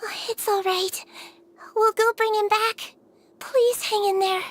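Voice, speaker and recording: Little girl nurse for a game: very high, sweet, soothing and caring. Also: steady tone, unhurried, fairly bright tone, slight background noise. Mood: reassuring. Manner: soothing, encouraging. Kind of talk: video game voice acting.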